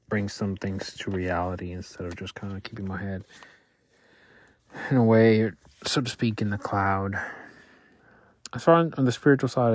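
Low-voiced man, Spanish native, musing. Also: no echo. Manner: thoughtful, halting, restarts often. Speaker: podcaster reflecting on his week. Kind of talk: casual reflective monologue. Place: Louisiana.

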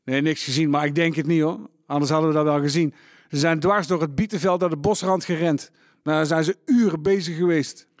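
A wary wartime farmer voiced by a male actor, blunt and protective of those around him. His voice is fairly high for a man and recorded very dry, in radio drama style. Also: fairly even intonation, a little fast, no echo, close to an everyday voice. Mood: relieved, matter-of-fact. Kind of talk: scripted radio drama dialogue.

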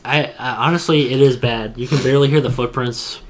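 Podcast dude, average pitch, casual gamer talk, slangy and emphatic. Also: male voice, steady tone, a little fast. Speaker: podcast co-host. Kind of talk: casual gaming podcast chat.

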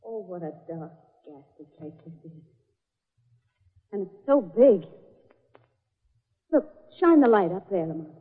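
An actress playing an anxious, devoted detective's companion with a husky voice in old radio drama style. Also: female voice, medium pitch, sing-song, a little slow, pauses often. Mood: uneasy. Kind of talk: 1940s radio drama acting. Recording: tinny, reverberant old radio recording.

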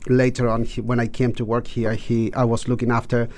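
A man's voice: medium pitch, completely flat delivery, fairly quick, a little mellow. Man, chatting. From Chile. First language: Spanish. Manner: rambling, with many restarts and repeated words. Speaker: guest. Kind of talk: casual interview chat.

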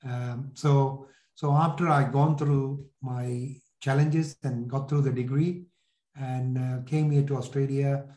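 Mellow older Sri Lankan man, echoey room. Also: medium pitch, steady tone, slow. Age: 60s. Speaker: podcast guest, entrepreneur. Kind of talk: conversational interview, telling life story.

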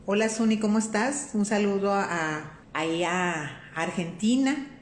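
A Mexican woman hosting a faith-based live stream. She talks in a warm, conversational way, greets viewers by name and often checks in with "¿verdad?". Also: medium pitch, unhurried. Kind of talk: casual live-stream talk on faith and life. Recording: roomy echo with faint room noise.

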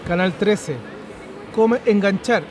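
A playful man joins the chat as co-host, cracking jokes. His voice runs high for a man, with faint noise in the background. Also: slow, darkish tone. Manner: joking, playful. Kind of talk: casual podcast chat.

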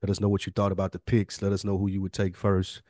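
A man's voice: deep voice, completely flat delivery, fast talker, mellow, no echo, studio quality. A man co-hosting a podcast in casual chat, agreeable and slangy in the way he talks. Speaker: podcast co-host.

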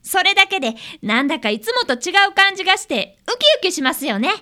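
A woman acts out an eager schoolboy apprentice detective for a radio play. The voice is very high and bright, with lively swings. He is polite and earnest, with a touch of Kansai accent. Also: fast, exaggerated voice. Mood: cheerful, polite. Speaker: radio drama actor and narrator. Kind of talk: community radio drama acting.

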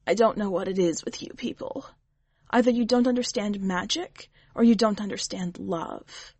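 A woman voicing a weary, blunt female witch who speaks in a dry, measured, skeptical way. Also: medium pitch, a little mellow, slightly rough, no echo. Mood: exasperated.

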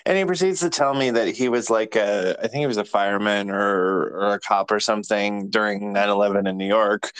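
A man's voice: on the low side, fairly quick, hardly any pauses, fairly bright tone, smooth, no room sound. A man, a podcast guest who tells stories bluntly and swears freely. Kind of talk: casual chat and storytelling.

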